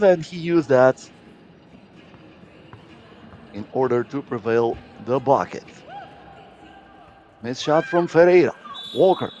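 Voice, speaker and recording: A man's voice: medium pitch, a little mellow, lo-fi sound. A man giving live play-by-play commentary on a basketball game as a sports commentator.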